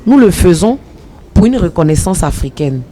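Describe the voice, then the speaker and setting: Measured woman comedian with a mellow voice. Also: on the low side, sing-song, slight background noise. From Republic of the Congo. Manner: earnest, measured, speaks about ambition with conviction. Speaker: actress and comedian. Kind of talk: interview answers.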